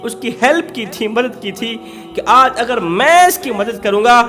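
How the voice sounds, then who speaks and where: A man's voice: very high-pitched, lively intonation, normal speed, sharp tone, faint music behind. A man preaching through emotional storytelling, dramatic, repeating phrases for emphasis.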